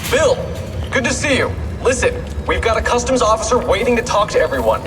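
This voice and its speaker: A brisk accomplice, distant and echoing. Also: male voice, extremely high pitch, very animated, over music. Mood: brisk, efficient. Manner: brisk, efficient. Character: brisk, businesslike accomplice briefing a covert team. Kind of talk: video game dialogue. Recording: distant, echoing large hall.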